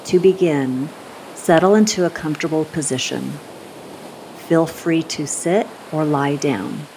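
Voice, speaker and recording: Slow, low-voiced woman guiding meditation. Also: a little hiss or hum, rough recording. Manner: soothing, unhurried, gentle instruction with long pauses. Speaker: meditation guide. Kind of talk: scripted guided meditation.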